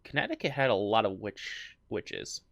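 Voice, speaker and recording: A man's voice: on the low side, very animated, moderate pace, creaky, no room sound, muffled recording. A young man co-hosting a casual true-crime podcast, reading the case aloud with frequent restarts and chuckles.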